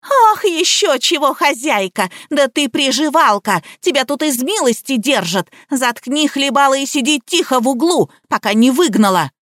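A woman's voice: high-pitched, lively intonation, moderate pace, few pauses, dry recording, clean studio sound, voice pushed up. A woman voicing a hysterical, vulgar young wife in an audiobook, shrilly hurling abuse and threats. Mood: enraged.

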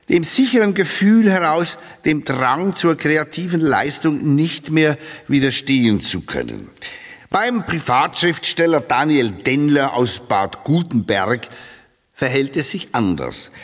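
A man narrates a satirical literary text in a reverberant hall. His fairly high voice moves widely in pitch, with mock-solemn, deliberate phrasing.

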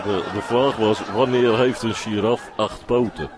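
A man playing a halting, pedantic radio comedy sidekick who proudly offers feeble jokes. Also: fairly low pitch, steady tone, a loud crowd, very noisy, lightly acted. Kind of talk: scripted radio comedy sketch. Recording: loud music behind the voice.

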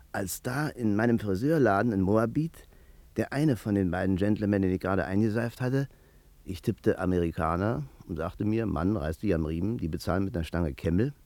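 An actor playing a nervous, talkative barber in a 1950s radio crime play, with a chatty, colloquial manner. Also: male voice, lowish voice, darkish tone, dry recording, lightly acted. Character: nervous, talkative Berlin barber, afraid of the courts. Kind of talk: 1950s German radio play acting, first-person narration.

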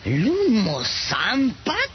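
Wildly swooping tiny excitable creature. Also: mid-range voice, very slow, heavily acted. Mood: puzzled, questioning. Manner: exclamatory, speaks an invented language. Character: tiny excitable Lilliputian creatures shouting in gibberish tongue. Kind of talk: radio drama acting. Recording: dull radio drama audio, loud background noise.

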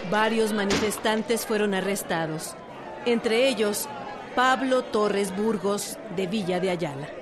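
A woman's voice: mid-range voice, slow pace, a loud crowd. A woman with a Mexican accent reading scripted narration for a radio docudrama. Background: music.

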